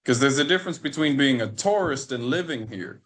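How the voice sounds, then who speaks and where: An American man in his twenties, a podcast guest on a video call, telling a story in an animated way that repeats and restarts phrases. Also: mid-range voice, brisk. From United States. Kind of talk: storytelling in a remote interview.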